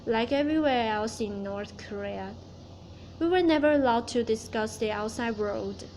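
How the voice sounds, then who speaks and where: A woman's voice: a little high, normal speed, a little mellow, a little hiss or hum, poor audio. Woman reciting a prepared speech. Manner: careful recitation, restarting stumbled words. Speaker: student giving a class speech.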